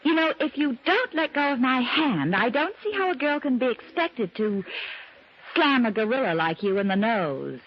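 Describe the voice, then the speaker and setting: An actress playing a sassy, coy young blonde who wisecracks, in old-time radio drama style. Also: female voice, fairly high pitch, sing-song, normal speed. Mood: coy, teasing. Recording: muffled vintage radio broadcast.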